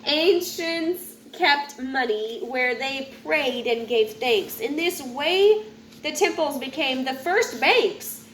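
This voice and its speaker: High-voiced woman reading a children's rhyming book. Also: expressive, takes it slowly, a bit bright. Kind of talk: read-aloud of rhyming children's picture book. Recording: roomy echo with faint background noise.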